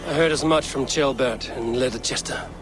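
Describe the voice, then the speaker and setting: An actor playing a shrewd, bold Viking raider in a video game, with a terse, sardonic delivery. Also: male voice, medium pitch, moderate pace, lightly acted. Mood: businesslike. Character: shrewd, dry-witted male Viking raider, bold and unyielding. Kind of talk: dramatic video game cutscene acting. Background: music.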